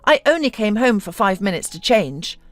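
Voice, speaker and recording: Woman acting a haughty, dramatic businesswoman wife, wildly animated. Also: mid-range voice, a little slow, no echo. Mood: mocking. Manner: mocking, theatrical, exclamatory.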